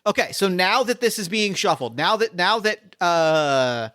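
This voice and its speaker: A man's voice: high-pitched, expressive, measured pace, pushed and bright, clear, resonant tone. A man running a tabletop game as host, chatting casually and thinking aloud with lots of fillers and restarts.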